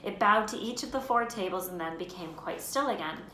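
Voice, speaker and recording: A woman teacher reading a children's novel aloud, expressive and voicing the characters. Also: medium pitch, a little fast. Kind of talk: storybook read-aloud. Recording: roomy with faint noise.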